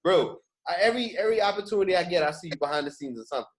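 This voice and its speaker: A man's voice: high voice, animated, very fast. A man hosting a podcast, chatting casually and emphatically, often repeating his phrases for stress. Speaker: podcast host. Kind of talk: casual podcast interview chat.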